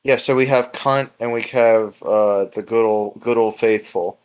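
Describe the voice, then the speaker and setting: A man's voice: on the low side, steady tone, unhurried, clear, resonant tone, rough recording. A man hosting a casual podcast chat, rambling and hesitant, swearing freely as he goes. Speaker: podcast host.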